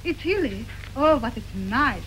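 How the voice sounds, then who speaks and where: An actress playing a chatty, hopeful young waitress arriving as a bride, talking in a folksy, ungrammatical way, in early 1930s film acting. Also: female voice, a little high, lively intonation, measured pace, a bit bright, poor audio. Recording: hissy early sound film.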